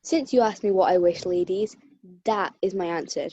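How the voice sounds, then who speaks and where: A woman's voice: on the low side, normal speed, warm tone, highs cut off, natural voice. A woman playing a miserly, scornful old man in a radio play, with a curt, grumbling delivery. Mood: cold, scornful.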